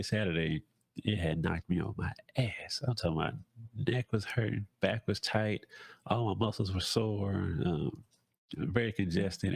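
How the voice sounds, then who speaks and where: Congested man with low gravelly voice. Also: no echo. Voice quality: nasal. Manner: rambling, many fillers and restarts. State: congested, nasal from a cold. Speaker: podcast host. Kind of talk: casual solo talk.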